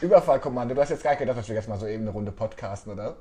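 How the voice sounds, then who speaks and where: A man's voice: on the low side, animated, rapid-fire, warm tone. A man hosting a casual podcast chat, playful and teasing with his guest. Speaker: podcast host, newspaper editor-in-chief.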